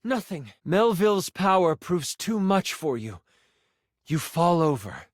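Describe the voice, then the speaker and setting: An actor playing a theatrical game master who narrates a fantasy adventure with suspense. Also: male voice, high-pitched, big pitch swings, measured pace, no room sound, studio quality. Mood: suspenseful. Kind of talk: dramatic second-person scripted narration.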